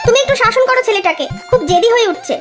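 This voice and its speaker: Brisk, high-voiced chiding mother. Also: music in the background. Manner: coaxing, complaining. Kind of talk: Bengali children's cartoon acting.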